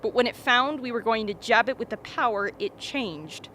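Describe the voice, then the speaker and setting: A woman's voice: a little high, expressive, moderate pace, pushed and bright, slight background noise. A woman playing a sardonic, authoritative expedition leader in a radio drama. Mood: grim. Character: commanding, sardonic expedition leader among frightened crew.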